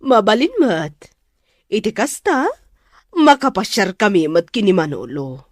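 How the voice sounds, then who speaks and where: A woman's voice: medium pitch, big pitch swings, measured pace, no room sound, studio quality. A woman playing a gracious, obliging married lady employer in a radio drama. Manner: polite, obliging.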